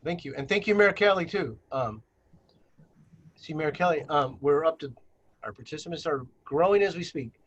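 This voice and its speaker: A man's voice: a little high, animated, normal speed, lo-fi sound, slight background noise. A man leading a virtual planning workshop on a video call, presenting slides with many fillers and restarts.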